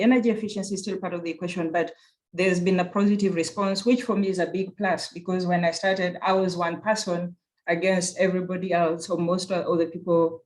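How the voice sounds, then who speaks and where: An earnest speaker with a Kenyan accent presents at an online conference in a mid-range voice. The delivery is reflective and unhurried, often circling back to rephrase a point. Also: mid-range voice. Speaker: conference speaker, university program director.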